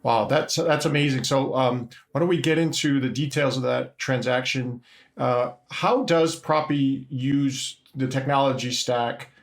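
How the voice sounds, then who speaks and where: Gravelly-voiced middle-aged guy hosting a biz interview, lots of uh and restarts, roomy echo. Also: mid-range voice, measured pace. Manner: hesitant, restarts often, summarizing. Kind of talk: business interview.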